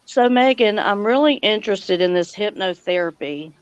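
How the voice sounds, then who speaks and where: A woman's voice: mid-range voice, animated, normal speed, clear, resonant tone, no room sound, lo-fi sound. A woman hosting a casual live chat stream, talking informally with her guests.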